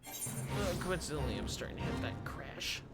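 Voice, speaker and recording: A man's voice: on the high side, expressive, moderate pace, over loud music. A man livestreaming a game, talking to himself in a playful, rambling, casual commentary. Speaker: livestreamer playing an online fantasy game.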